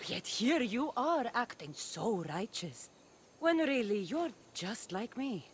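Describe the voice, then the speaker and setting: Scornful, menacing demigod warrior, animated delivery. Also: female voice, fairly high pitch, takes it slowly, a little hiss or hum. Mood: cold contempt. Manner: scornful, threatening, haughty. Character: menacing, contemptuous demigod warrior woman of a cult. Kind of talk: dramatic video game acting.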